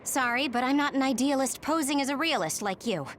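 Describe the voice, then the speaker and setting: A woman's voice: sits high, lively intonation, a little fast, a little hiss or hum. A woman playing a blunt, skeptical female soldier in a video game, with a dry manner. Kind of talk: English video game voice acting.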